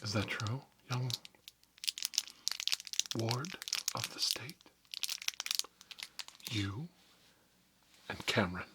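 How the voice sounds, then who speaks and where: A man playing a stern, pompous school principal in a whispered ASMR role play, scolding pupils in halting, drawn-out phrases. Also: medium pitch, lively intonation, heavy background noise. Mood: stern, accusing. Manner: pompous, halting, drawn-out, repeats words for emphasis.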